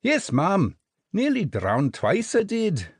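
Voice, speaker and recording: A man doing a tiny flying jelly-baby private, eighteen and eager, with a thick Scottish accent and a raised, husky voice. He rattles off his words in a brisk, military staccato, as in a read-aloud storybook. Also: sits high, wildly swooping pitch, no room sound, clean studio sound, pitched above the performer's own voice, exaggerated voice. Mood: chirpy.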